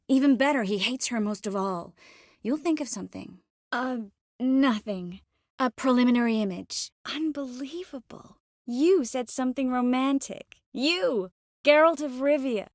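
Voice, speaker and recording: Earnest young sorceress, close dry sound. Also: female voice, on the high side, no room sound. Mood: matter-of-fact, then surprised. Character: earnest, tender, quick-witted young sorceress. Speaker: video game voice actor. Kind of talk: dramatic fantasy game dialogue.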